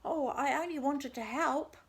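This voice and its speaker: A woman voicing a big, friendly, soft-hearted storybook wolf who speaks politely and pleadingly. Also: sits high, moderate pace, pitched above the performer's own voice. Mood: sad, apologetic. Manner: gently pleading, exclaiming politely. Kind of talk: children's storybook character voice.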